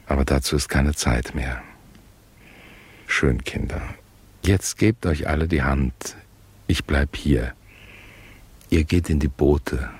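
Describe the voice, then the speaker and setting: A man's voice: rumbling low, breathy edge, heavily acted. A man acting in a German radio play as a solemn, fatherly religion teacher, speaking tenderly and piously to small children. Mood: tender, grave. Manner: slow, tender, pious, doting.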